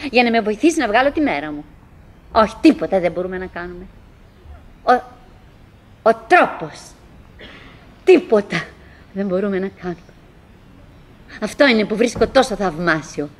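An actress playing a chatty, wistful middle-aged wife who clings to brave cheer, in a halting, dramatic radio play monologue. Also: female voice, on the high side. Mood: wistful, resigned.

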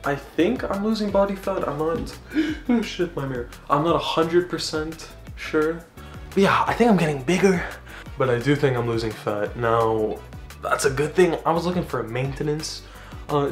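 A young man vlogging casually, rambling and swearing, getting loud and excited at times. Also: a little high, moderate pace, soft background music. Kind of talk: casual gym vlog talk. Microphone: camera mic.

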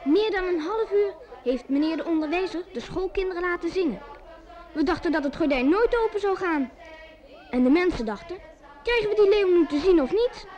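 A lively woman narrator tells a village tale in an old radio play. Her voice is very high and swings widely, with a faint crowd behind her. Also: fairly quick. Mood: suspenseful, lively.

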